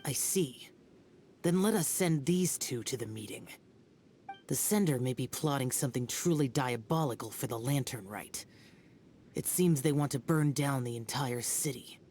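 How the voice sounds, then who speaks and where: A man's voice: mid-range voice, normal speed, a little mellow, slight background noise, lightly acted. A man voicing an aloof young warrior spirit, curt, formal and detached, over game music. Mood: cold. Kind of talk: video game voice acting. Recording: game soundtrack under the voice.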